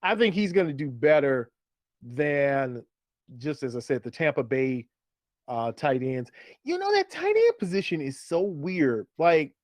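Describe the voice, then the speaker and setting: Man, fairly high voice, casual sports co-host, analytical, explanatory, close dry sound. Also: very animated, a little slow, dry recording. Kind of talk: casual fantasy football chat.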